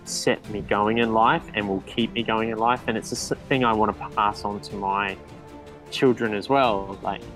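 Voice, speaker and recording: A man's voice: lowish voice, fairly even intonation, moderate pace, a bit bright, soft background music. A man, a guest in a casual interview, talking with frequent ums and restarts. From New Zealand. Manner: hesitant, restarts with many ums.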